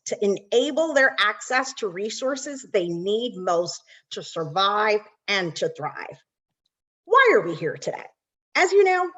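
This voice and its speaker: A woman's voice: medium pitch, fairly bright tone, lo-fi sound. Earnest woman opening a webinar. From Barbados. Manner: earnest, with frequent ums. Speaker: nonprofit executive. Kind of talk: webinar welcome speech.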